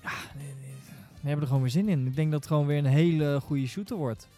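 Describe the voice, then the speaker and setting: A man's voice: fairly high pitch, fast, dark tone, faint music behind. A man on a casual gaming podcast, thinking aloud in a hesitant way. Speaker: podcast panellist.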